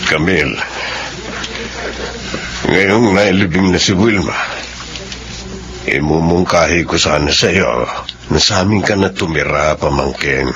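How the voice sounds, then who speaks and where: A man's voice: low voice, measured pace, long pauses, music in the background. A man playing a kindly, solemn middle-aged uncle with a Filipino accent in a radio drama. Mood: consoling. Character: kindly, solemn uncle consoling his bereaved niece. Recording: dull lo-fi audio.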